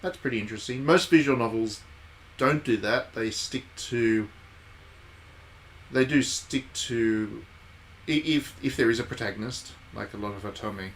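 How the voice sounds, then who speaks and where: A man's voice: medium pitch, a little slow, slight background noise, poor audio. A man giving casual, wry live commentary as he streams a visual novel. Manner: wry, jokey asides. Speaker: game streamer.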